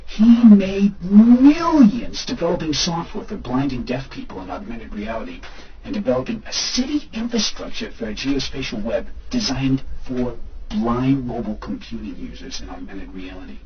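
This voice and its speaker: An actor playing an excitable, hungover man showing off an app in an amateur audio drama. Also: medium pitch, normal speed, lightly acted. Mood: explaining. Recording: muffled recording with faint background noise.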